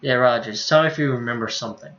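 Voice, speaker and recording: A man's voice: medium pitch, racing, muffled recording, crowd noise. A man, a game streamer casually reading visual novel text and dialogue aloud.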